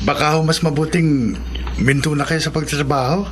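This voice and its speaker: A man's voice: on the high side, fairly even intonation, fairly quick, soft background music, lightly acted. A man playing a caring, earnest college-student son with a Filipino accent in a radio drama. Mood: gently urging. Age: young adult.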